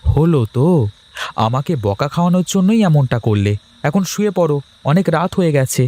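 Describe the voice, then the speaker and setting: Animated bossy village husband acted by a man. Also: a little high, brisk. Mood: grumbling. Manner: bossy, emphatic. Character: bossy, grumbling but doting young village husband. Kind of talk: cartoon voice acting.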